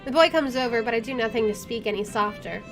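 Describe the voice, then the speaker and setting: A woman's voice: a little high, fast. A woman doing a game playthrough, reading story text aloud and adding casual commentary. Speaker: gaming streamer. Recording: game music behind the voice.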